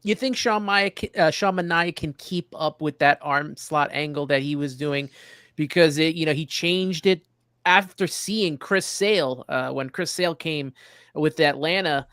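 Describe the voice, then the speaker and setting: A man's voice: on the high side, a little slow, fairly bright tone, no room sound, studio quality. A man hosting a casual sports interview, chatting as he puts questions to a guest. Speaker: podcast host.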